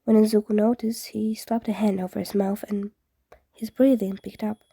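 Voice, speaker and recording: A woman's voice: mid-range voice, flat delivery, normal speed, gravelly, dark tone, husky, no echo. A woman reading fan fiction aloud in an audiobook-style narration.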